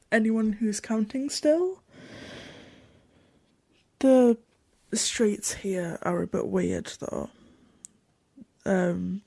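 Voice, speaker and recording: A woman plays a curious traveller recording a journal in an audio drama. The voice is soft, creaky and husky, and moves slowly. The delivery is informal and hesitant, with wry asides and trailing phrases. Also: mid-range voice, no room sound, exaggerated voice. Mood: puzzled, wry. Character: chatty, curious female traveller journaling a strange new world. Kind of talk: audio drama diary monologue.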